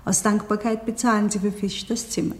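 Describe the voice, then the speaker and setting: An actress playing a composed, helpful hotel resident in a radio play, speaking in a matter-of-fact way. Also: female voice, medium pitch, fairly even intonation, brisk, big-room sound, very noisy, lightly acted. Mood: explanatory. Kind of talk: German radio play acting.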